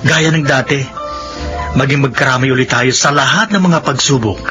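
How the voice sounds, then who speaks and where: A man's voice: mid-range voice, normal speed, over music, lightly acted. An actor playing an affectionate, jovial married man in a Filipino radio drama, talking in a teasing, earnest, brotherly way. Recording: noisy radio drama scene with ambience.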